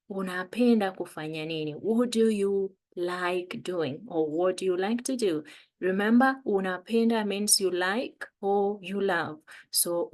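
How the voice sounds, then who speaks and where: A woman with a Kenyan accent teaching a beginner language lesson, patiently repeating phrases. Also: medium pitch.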